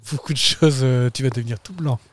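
A man's voice: mid-range voice, a little slow. A man co-hosting a podcast outdoors on a town square, chatting casually in a playful, teasing way and laughing often. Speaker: podcast co-host. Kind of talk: casual chat.

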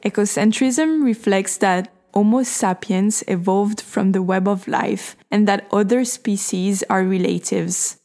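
A woman hosting a podcast, reading a calm, reflective scripted narration. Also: mid-range voice, a little slow, hardly any pauses, faint music behind. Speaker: podcast host.

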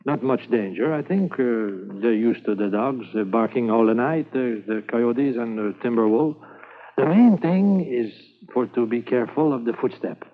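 A man plays a charming, fearless French Mountie, his French accent thick and his English halting, stumbling over words with many pauses. He holds a middle pitch. The sound is thin and phone-like, from an old radio transcription. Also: close to an everyday voice. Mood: planning. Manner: halting broken English full of "uh". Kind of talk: 1950s radio drama acting.

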